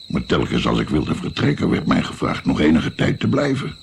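A man's voice: a bass voice, huge pitch swings, a little fast, creaky, a little hiss or hum, exaggerated voice. An actor playing an older musician and physician, recalling his naive youth in a reflective, hesitant, wry way in a radio play.

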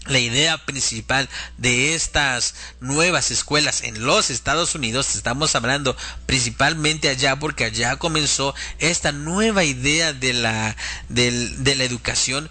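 Bright-voiced man giving devotional talk. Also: medium pitch, measured pace. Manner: didactic, addresses listeners as friends and brothers. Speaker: religious radio host and preacher. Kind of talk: devotional teaching talk and prayer.

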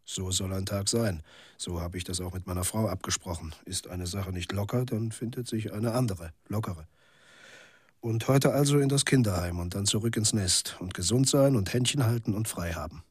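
A man's voice: on the low side, normal speed, darkish tone, breathy edge, no echo, clean studio sound. An actor playing a weary, cynical male journalist telling his story in a laconic literary monologue for radio drama. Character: weary, cynical male journalist in a bleak near-future city.

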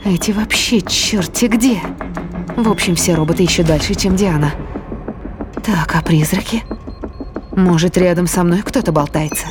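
A woman's voice: fairly low pitch, moderate pace, long pauses, lightly acted. An actress playing a tense, suspicious woman fighter muttering to herself in an audio drama. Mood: wary, pondering. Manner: muttering questions and remarks to herself. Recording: music playing behind the voice. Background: music.

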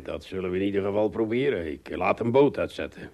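An actor playing a sensible, good-natured merchant ship captain in a radio play, hesitating and repeating words. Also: male voice, deep voice, expressive, fast, creaky, a little mellow. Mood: pondering.

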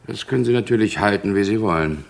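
An actor in his early fifties playing a gruff, insistent police inspector in vintage German crime drama. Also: on the low side, fast. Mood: firm, brusque. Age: early 50s. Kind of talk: 1960s-70s German crime radio and TV drama acting.

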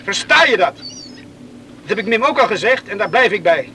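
A man's voice: high-pitched, big pitch swings, moderate pace, pushed and bright, slight background noise, heavily acted, poor audio. An actor playing a stubborn, defiant young man in a radio play, blunt and dismissive in manner. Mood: defiant, irritated. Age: young adult.

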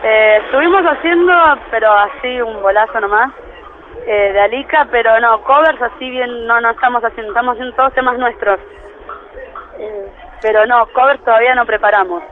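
A man's voice: extremely high pitch, fairly quick, slight background noise. A laid-back man with a Buenos Aires accent, a band musician chatting colloquially in a phone interview. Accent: Buenos Aires Argentine. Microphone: telephone. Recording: tinny, band-limited phone line.

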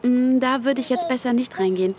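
An actress playing a caring, practical woman who talks in a gently persuasive way in a radio drama. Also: sits high, fairly quick, crowd noise, natural voice. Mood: friendly. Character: caring, sociable, practical-minded female confidante. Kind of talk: German radio crime drama acting.

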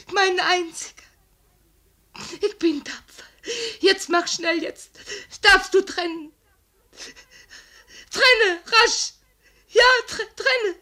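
An actress playing a tender, desperate abandoned mistress in a 1950s German radio drama, halting, tearful and pleading into a telephone. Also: female voice, very high voice, sharp tone, full character voice. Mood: forcedly brave, tearful.

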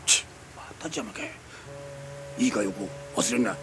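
An actor playing a thuggish, menacing man in a radio drama, with rough, coarse, threatening speech. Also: on the low side, lively intonation, moderate pace, over music, lightly acted. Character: thuggish, menacing man extorting money from a young woman.

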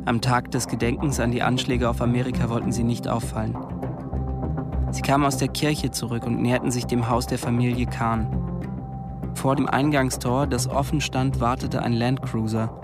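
A man's voice: mid-range voice, flat delivery, normal speed. A man narrating a radio play from a script in a plain, restrained way. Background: loud music.

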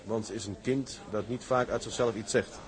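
An actor playing an ambitious, proud tugboat captain in a period drama, firm and businesslike. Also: male voice, lowish voice, fairly even intonation, normal speed, crowd noise, lightly acted. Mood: reading aloud. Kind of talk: acted period drama dialogue.

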